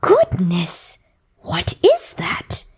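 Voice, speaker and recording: A woman's voice: fairly low pitch, huge pitch swings, drawn-out words, breathy edge, pitched below the performer's own voice, full character voice. A woman voicing a baffled, put-out cat in a children's storybook. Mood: astonished.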